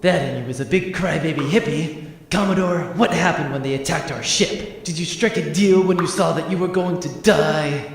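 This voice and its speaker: A man's voice: a little high, normal speed, very echoey, long reverb tail, close to an everyday voice. A man playing a sarcastic, combative space-marine sergeant in a sci-fi audio drama, blunt and commanding. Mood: confrontational.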